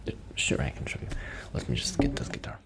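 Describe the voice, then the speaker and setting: A man whispering in an ASMR roleplay as a shy musician friend, rambling gently and hesitantly as he explains things. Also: fast.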